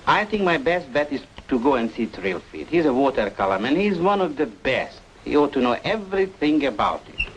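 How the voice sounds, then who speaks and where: A helpful, musing small-town shopkeeper in an old radio drama. His medium-pitched voice is very animated, with faint noise behind it. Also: moderate pace, poor audio. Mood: puzzled, helpful. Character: helpful, chatty small-town art shop man. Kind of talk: old-time radio noir drama acting.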